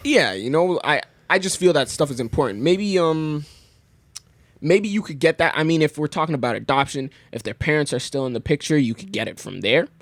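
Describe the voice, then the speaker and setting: A young man from Canada, a podcast guest, talking things through in a thoughtful, halting way with many restarts. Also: fairly high pitch, animated, no room sound. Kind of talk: casual conversation.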